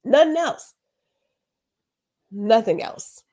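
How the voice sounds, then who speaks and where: A woman's voice: a little high, lively intonation, very slow, dark tone. A woman co-hosting a podcast, talking in a casual, emphatic way with lots of rhetorical questions. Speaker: podcast co-host. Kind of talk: casual conversation.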